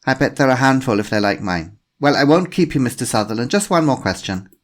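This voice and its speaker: A man doing a brisk German police inspector. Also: medium pitch, animated, pitched above the performer's own voice, close to an everyday voice. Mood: probing. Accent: slight German. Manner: polite, persistent questioning.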